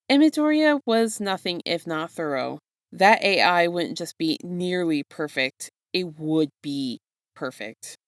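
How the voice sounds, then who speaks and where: Low-voiced woman reading a story aloud. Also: no echo, studio quality. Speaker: narrator reading fan fiction. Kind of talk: expressive scripted story narration.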